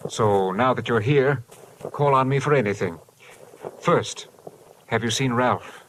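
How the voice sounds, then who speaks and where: A man's voice: mid-range voice, measured pace, fairly bright tone, lightly acted, poor audio. A man playing an earnest, rational doctor with a precise, formal way of speaking in an old radio drama. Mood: anxious, courteous. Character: earnest, rational male doctor, worried about brother. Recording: old radio recording, faint noise.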